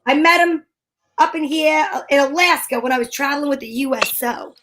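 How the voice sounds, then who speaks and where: Chatty, wisecracking gal, played by a woman, high voice with a put-on accent, old-time radio comedy. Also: fairly quick, noisy background, lightly acted. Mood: wisecracking, breezy. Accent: put-on character accent. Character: Candy, chatty wisecracking woman, friend of a photographer.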